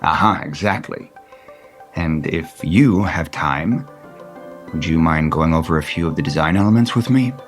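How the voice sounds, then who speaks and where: An actor playing a wistful wealthy property developer in an audio soap opera, speaking tenderly and haltingly. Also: male voice, very deep voice, expressive. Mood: earnest, hopeful. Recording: music bed under the dialogue.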